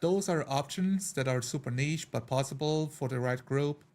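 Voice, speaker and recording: A man's voice: medium pitch, moderate pace, darkish tone. A man chatting casually as a tabletop streamer, explaining things in an earnest way. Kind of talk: casual chat.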